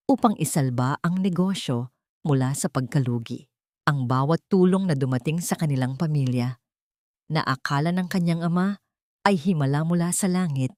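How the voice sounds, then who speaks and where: Low-voiced woman narrating a drama, close and dry. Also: darkish tone, dry recording. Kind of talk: dramatic scripted story narration.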